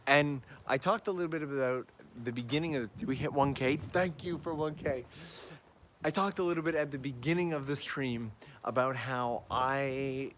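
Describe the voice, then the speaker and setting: A man hosting a live travel stream outdoors, chatting upbeat to viewers while walking, his voice going hoarse. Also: mid-range voice, moderate pace, fairly bright tone, slight background noise. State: losing his voice. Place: outdoors on town backstreets at evening.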